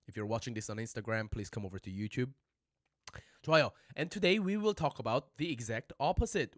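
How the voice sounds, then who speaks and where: A man's voice: medium pitch, fairly bright tone, dry recording, studio quality. A man teaching a casual livestream lesson, chatting with viewers and repeating phrases for learners. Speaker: Korean language teacher.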